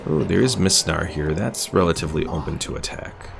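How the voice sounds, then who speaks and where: A man with a very low, gravelly voice chats through his strategy game on a live stream. He thinks aloud, reads out unit stats and viewer comments, and fills his pauses with plenty of uhs. Faint game music plays underneath. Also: lively intonation.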